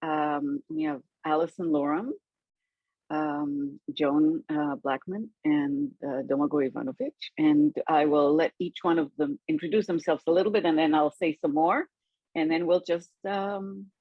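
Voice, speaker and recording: A slightly dull, dry recording of a woman with a low, husky voice. She hosts informally, introducing guests and handing over to them. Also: animated, unhurried, no room sound. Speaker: host.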